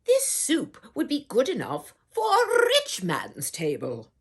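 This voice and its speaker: A woman voicing a wily male soldier in a storybook, coaxing and mock-wistful, with drawn-out, grand phrasing. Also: high voice, huge pitch swings, breathy edge, pitched above the performer's own voice, full character voice.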